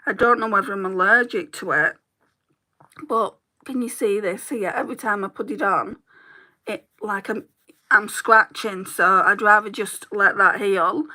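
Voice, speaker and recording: Chatty British woman with a bright voice. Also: mid-range voice, rough recording. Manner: chatty, rambling, greets viewers by name. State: throat getting sore. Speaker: beauty vlogger, livestream host. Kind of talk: casual chat while doing makeup live.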